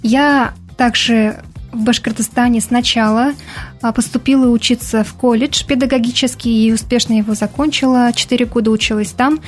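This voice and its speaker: Young woman, somewhat high voice, earnest radio-studio guest, faint music underneath. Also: steady tone, moderate pace. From Bashkortostan, Russia. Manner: earnest, explanatory, with hesitant fillers. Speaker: radio guest, Mari language activist. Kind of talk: radio interview answers.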